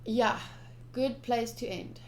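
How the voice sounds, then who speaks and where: A woman streamer doing a live let's-play of a visual novel, reading text aloud and reacting in an expressive, chatty way. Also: fairly high pitch, slow pace, slight background noise.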